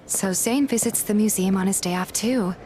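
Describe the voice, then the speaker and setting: A woman playing a curious, polite young game heroine, narrating in the first person and speaking her dialogue in an earnest, gentle manner. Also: medium pitch, normal speed, a little hiss or hum, close to an everyday voice, low-quality recording. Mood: earnest, reflective.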